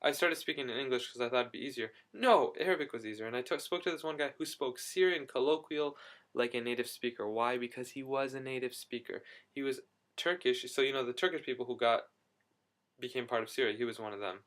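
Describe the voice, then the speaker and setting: A young man in a casual video diary, talking excitedly and rambling with quick restarts. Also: mid-range voice. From United States. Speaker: vlogger.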